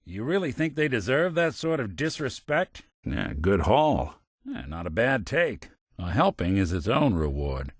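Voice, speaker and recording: An actor playing a world-weary, wisecracking android detective in a video game, hardboiled but kind, with dry old-fashioned gumshoe delivery. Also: male voice, on the low side, sing-song, measured pace, dry recording. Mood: wry, kindly. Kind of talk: game character voice lines.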